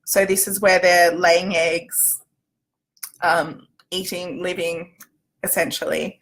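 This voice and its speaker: A woman's voice: lowish voice, little pitch movement, slow, a bit bright. A woman with an Australian accent giving an informal livestream explainer as a company representative, in a chatty, loosely structured way.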